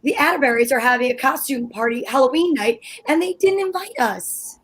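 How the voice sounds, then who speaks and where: A woman's voice: high-pitched, a little fast. A woman reading the part of a huffy, exasperated wife in an amateur old-time radio play. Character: wife Liz, fussy dramatic housewife.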